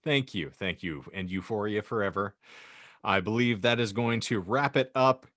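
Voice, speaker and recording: A man's voice: lowish voice, normal speed, fairly bright tone, no room sound, studio quality. A man hosting a casual comedy podcast, bantering and speechifying with mock-solemn grandeur. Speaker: podcast host.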